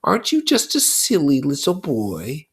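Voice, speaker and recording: A narrator voicing a menacing, mocking pig's head, the beast, with drawn-out schoolmasterly condescension in a story read aloud. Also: medium pitch, wildly swooping pitch, slightly rough, pitched above the performer's own voice, heavily acted.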